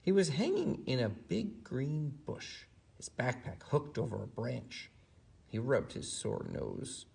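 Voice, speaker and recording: A man reads a children's story aloud with a lively, swooping delivery. His voice is very husky and breathy, with a gravelly creak at the edges. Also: mid-range voice, slow. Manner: animated, acts out character lines. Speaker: storybook reader. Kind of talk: expressive read-aloud of a children's story, then casual chat.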